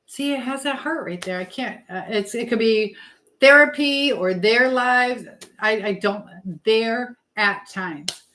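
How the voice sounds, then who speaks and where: A woman's voice: fairly high pitch, measured pace, bright, forceful tone. A woman hosting a casual live chat, rambling and trailing off mid-thought as she talks to viewers and reads their comments. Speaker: live stream host.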